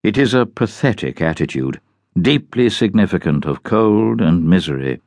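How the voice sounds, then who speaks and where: Audiobook narrator, male. Low register, highly varied intonation, measured literary reading, close dry sound. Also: no room sound. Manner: measured, literary, expressive delivery. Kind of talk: scripted dramatic reading of a journal.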